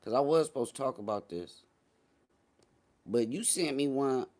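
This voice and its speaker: A man's voice: mid-range voice, normal speed, a little mellow. A man hosting a casual live stream, chatting with viewers in a slangy, boastful, teasing way.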